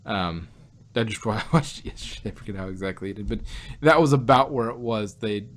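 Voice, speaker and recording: A man chatting casually about stories in a rambling, halting way, often repeating words as he works out a thought. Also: on the low side. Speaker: podcast host reviewing audio dramas. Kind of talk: casual chat, fan speculation. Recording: noticeable background noise.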